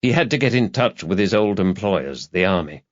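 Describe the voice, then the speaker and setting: A man's voice: low voice, lively intonation, moderate pace, dry recording. A man narrating a crime thriller audiobook in a scripted, dramatic storytelling style. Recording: slightly dull, lo-fi audio.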